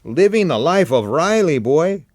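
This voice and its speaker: A man's voice: a little high, big pitch swings, a little slow, darkish tone, no echo, studio quality, pitched above the performer's own voice. A man voicing a brash, cynical, bullying beachcomber in a dramatic story reading. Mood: breezy, mocking. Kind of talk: dramatic audiobook character voice.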